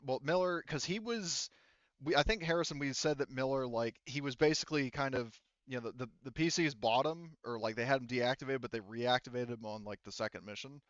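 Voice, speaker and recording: A man working as game master, narrating and refereeing a tabletop roleplaying game in a halting, informal, conversational way. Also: medium pitch, a bit bright, no echo, dull audio.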